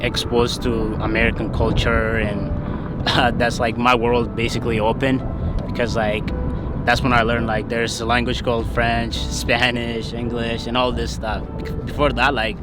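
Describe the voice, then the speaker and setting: A young man from Ethiopia talks casually about his own life in a loose, hesitant way, leaning on many fillers. His voice sits at a middle pitch. Music plays clearly underneath him. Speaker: interviewee. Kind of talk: casual personal storytelling.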